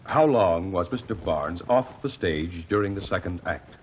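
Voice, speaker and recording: A man's voice: sits low, lively intonation, measured pace. A man playing a methodical courtroom advocate in a 1949 radio drama, formal and probing as he questions witnesses, on a dull, faintly hissy recording. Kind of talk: 1940s radio drama acting.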